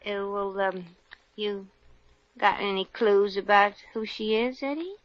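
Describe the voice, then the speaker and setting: An actress playing a brash, fast-talking young heroine in a 1950s radio comedy. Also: female voice, medium pitch, no echo, natural voice. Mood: evasive. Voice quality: brash, wisecracking. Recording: dull lo-fi old radio transcription.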